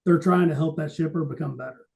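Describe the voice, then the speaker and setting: A man's voice: on the high side, fast talker, vocal fry, crowd noise. A man in conversational podcast talk about his trade, explaining things emphatically and readily voicing other people's side of a conversation. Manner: explanatory, emphatic, acts out quoted remarks. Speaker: podcast co-host.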